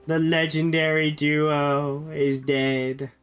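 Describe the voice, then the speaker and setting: A man's voice: on the high side, little pitch movement, slow pace, fairly bright tone, clear, resonant tone, faint music behind. A man streaming a game while sick with a cold, sounding nasal and chatting loosely with viewers, often correcting himself. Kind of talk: casual live-stream chat.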